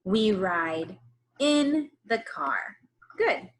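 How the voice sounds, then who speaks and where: A woman teacher leading a reading lesson for young children, instructing in an encouraging way with pauses for them to repeat. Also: mid-range voice, lively intonation. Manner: instructional, encouraging, pausing for repetition. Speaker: early-grades teacher. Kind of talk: slow read-aloud phonics lesson for young children.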